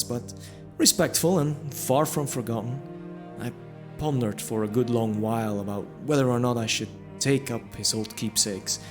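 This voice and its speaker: Male narrator for fantasy audio drama; mid-pitched, reflective first-person storytelling over music.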